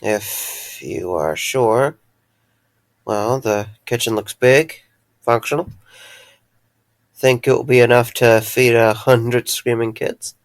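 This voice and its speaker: A man as a gravelly, caring, wry friend. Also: mid-range voice, takes it slowly, pauses often, no room sound. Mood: wry, casual. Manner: earnest, hesitant, dryly wry. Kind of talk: audio drama acting.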